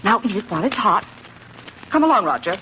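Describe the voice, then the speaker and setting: An actress playing a bossy, falsely solicitous niece in a 1950s radio drama, coaxing and scolding. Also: female voice, medium pitch, big pitch swings, a little fast, close to an everyday voice. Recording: scratchy, muffled 1950s radio recording.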